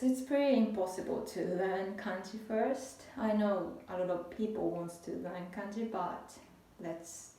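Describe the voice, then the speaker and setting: A young adult woman from Japan, speaking English with a Japanese accent, giving a casual, halting language lesson as a tutor. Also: fairly low pitch, darkish tone, recorded from a distance, slight background noise. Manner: unhurried, halting, restarts phrases. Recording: echoey room, voice off-mic.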